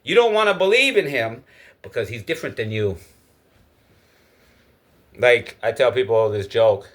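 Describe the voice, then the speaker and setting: A man's voice: medium pitch, lively intonation, moderate pace, a bit bright. A man from Egypt giving a casual, opinionated spoken monologue. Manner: opinionated, lecturing. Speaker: speaker giving his views.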